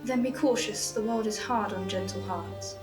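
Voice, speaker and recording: An actress playing a thoughtful, sympathetic young woman who gently cautions in an audio drama. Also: mid-range voice, moderate pace, distant mic, natural voice. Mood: gentle caution. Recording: echoey room with music.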